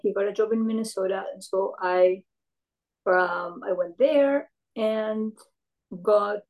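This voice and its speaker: An older woman from Peru, a retired professor, recalling her career in an oral history interview, speaking thoughtfully with many pauses and fillers. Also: medium pitch, mellow, low-quality recording.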